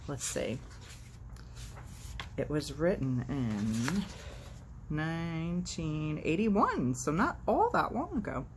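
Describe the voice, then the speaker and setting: A woman's voice: deep voice, slight background noise. A woman hosting a casual read-aloud of a children's book, chatting and narrating with wry, irreverent asides. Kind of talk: casual vlog chat and animated storybook read-aloud.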